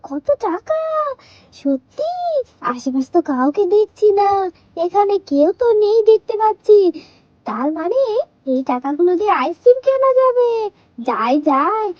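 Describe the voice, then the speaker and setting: Tiny squeaky little girl, very animated, whiny, eager, stubborn, doll-story cartoon. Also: no room sound, highs cut off, exaggerated voice. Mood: amazed, excited. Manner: whiny, excitable, pleading. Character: eager, ice-cream-loving little girl, excitable and stubborn. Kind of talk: children's doll story acting.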